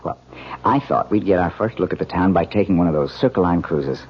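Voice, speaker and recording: An affectionate young-married husband in an old radio mystery. He talks casually and quickly, with animated intonation and solicitous attention. The broadcast sounds muffled. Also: low voice, husky. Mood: breezy. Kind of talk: 1970s radio drama acting.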